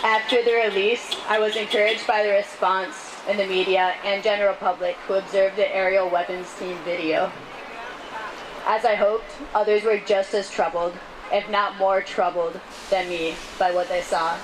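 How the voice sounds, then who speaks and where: A woman reads a prepared statement aloud in a measured, earnest way, as a public speaker at a rally. Her pitch is medium, and the outdoor recording carries clear street noise behind her. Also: steady tone. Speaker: speaker reading a testimony at a rally. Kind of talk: reading prepared testimony aloud.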